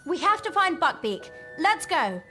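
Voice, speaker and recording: An actress playing a sensible, concerned British schoolgirl witch in a video game, brisk and practical. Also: very high voice, very animated, sharp tone, full character voice. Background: music.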